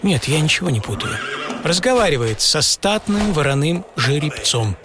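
A man narrating a scripted radio travel piece in a theatrical, expressive way. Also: on the high side, normal speed. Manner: theatrical, expressive, shifting from hushed to excited. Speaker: author and narrator of an audio travel show. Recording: music under the voice. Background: music.

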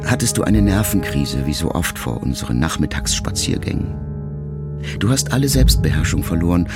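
A man reading a first-person letter as an audiobook narrator, calm and measured. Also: low-pitched. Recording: music bed under the voice.

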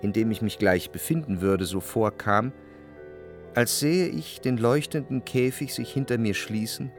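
An actor playing an introspective, jealous lover who narrates his memories in a measured, literary radio drama style. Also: mid-range voice, faint music behind, natural voice. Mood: reflective, brooding. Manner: reflective, measured literary delivery in long sentences.

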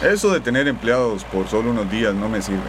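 A stern farm foreman played by a man, curt and quick-spoken. It is radio drama, with clear outdoor noise behind his gruff orders. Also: lowish voice, lively intonation, lightly acted. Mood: gruff, warning. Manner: curt, warning, authoritative.

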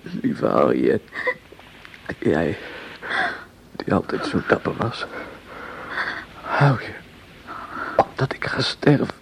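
An actor playing a dying, feverish young soldier in a radio drama, speaking weakly in halting, half-whispered phrases. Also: male voice, low voice, sing-song, vocal fry, heavily acted, rough recording. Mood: weak, resigned. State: feverish, weak, gasping. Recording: hissy radio drama recording.

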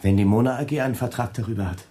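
An actor in a German radio drama playing a desperate, pleading Nazi camp commandant, agitated and complaining. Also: male voice, mid-range voice, rapid-fire, mellow, natural voice.

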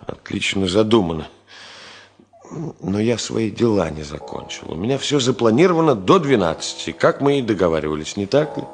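An actor in a Russian radio drama playing a stubborn, ironic engineer with a husky voice and a dry, mock-polite manner. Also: male voice, on the low side, big pitch swings, moderate pace, soft background music. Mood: firm, ironic. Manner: dry irony, mock-polite, emphatic repetition. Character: stubborn, ironic ailing engineer, busy with unfinished work. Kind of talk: 1970 Soviet radio drama acting.

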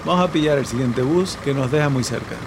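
An actor playing a laid-back, helpful friend with useful contacts in an audio drama, casual and easygoing. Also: male voice, medium pitch, brisk, heavy background noise, close to an everyday voice. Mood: relaxed, helpful.

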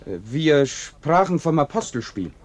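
An actor playing an urbane radio presenter in a radio play, measured, politely probing and dryly ironic. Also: male voice, fairly high pitch, very animated. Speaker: narrator and interviewer within a radio play.

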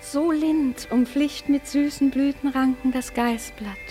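A woman's voice: high-pitched, steady tone, unhurried, mellow. An actress playing a doting, seductive fairy queen in a classic radio play, speaking verse in a lyrical, caressing, theatrical manner. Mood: tender, lulling. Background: music.

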